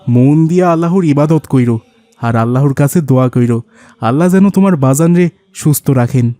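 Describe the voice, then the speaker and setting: A man as a poor, humble Bangladeshi rickshaw puller, mellow. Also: medium pitch, fairly quick, dry recording, close to an everyday voice. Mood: tender. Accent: colloquial Bangladeshi. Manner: deferential, earnest, plaintive. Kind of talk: cartoon voice acting.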